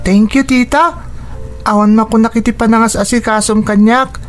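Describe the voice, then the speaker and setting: A woman's voice: on the high side, steady tone, moderate pace, faint music behind, close to an everyday voice. A woman playing a concerned, affectionate young boy in a Philippine radio drama, with an earnest way of talking. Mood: grateful.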